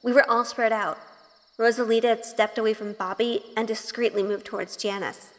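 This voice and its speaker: A woman's voice: a little high, level tone, a little fast, lightly acted. A woman playing a sweet, anxious young witch in an audio drama, earnest and hesitant, also narrating. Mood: wistful narration. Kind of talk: audio drama acting with first-person narration.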